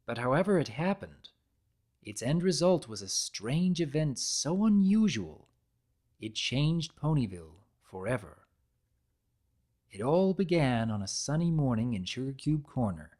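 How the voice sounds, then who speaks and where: A male storyteller delivers a scripted, dramatic reading. His medium-pitched voice moves widely up and down, with expressive, measured phrasing suited to a storybook. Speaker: story narrator.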